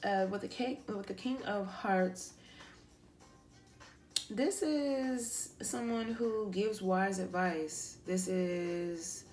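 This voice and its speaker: A woman giving a casual, improvised card reading to an online audience, talking in an animated, slangy way and swearing freely. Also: mid-range voice, extremely slow. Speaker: tarot card reader, online host. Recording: music playing behind the voice. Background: pop and R&B songs playing.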